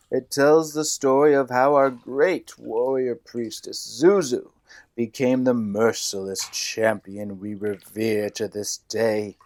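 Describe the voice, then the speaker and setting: A man's voice: mid-range voice, no room sound, natural voice. A man playing a pompous, imperious nonhuman warrior who speaks formally and grandly in an audio drama.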